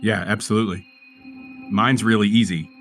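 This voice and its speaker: A man playing a tabletop game, casually describing his character's actions in a halting, searching way. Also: on the low side, steady tone, a bit bright, soft background music.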